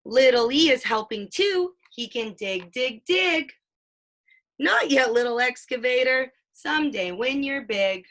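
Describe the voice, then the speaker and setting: A mother reading a children's picture book aloud, with sing-song storytelling and drawn-out sound words. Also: sits high, animated, fairly bright tone. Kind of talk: children's read-aloud with questions.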